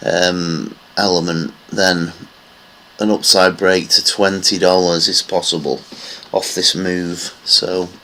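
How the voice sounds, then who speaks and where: A man's voice: deep voice, level tone, slow pace, pushed and bright, a little hiss or hum, poor audio. A man giving casual, rambling commentary on silver price charts, with many fillers. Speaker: market analysis vlogger.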